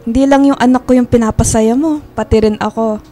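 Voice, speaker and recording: High-pitched actress as doting middle-aged mom, exclamatory, fussy, affectionate, radio drama, faint music underneath. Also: female voice, fairly even intonation, brisk, warm tone, lightly acted. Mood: tender, fond. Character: warm, chatty, doting mother of a grown son. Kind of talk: Filipino radio drama acting.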